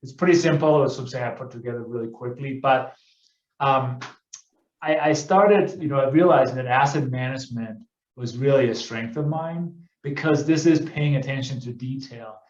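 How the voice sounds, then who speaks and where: A man's voice: mid-range voice, moderate pace. A middle-aged man from Denmark, presenting on a webinar with many fillers and self-corrections. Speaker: guest presenter, real estate investor. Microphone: video call. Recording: echoey, dull webinar audio.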